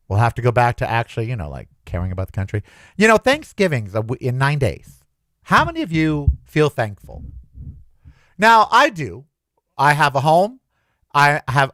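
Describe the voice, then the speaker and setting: Animated, chatty man hosting. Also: medium pitch, no echo. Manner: chatty, anxious, animated storytelling. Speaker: podcast host. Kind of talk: casual solo podcast monologue.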